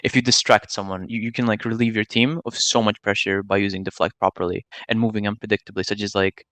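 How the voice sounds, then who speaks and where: A man's voice: lowish voice, little pitch movement, fast talker, fairly bright tone, no echo. A nineteen-year-old man from Serbia, chatting casually as an interviewed gamer, slangy and opinionated as he explains at length. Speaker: gamer and streamer being interviewed.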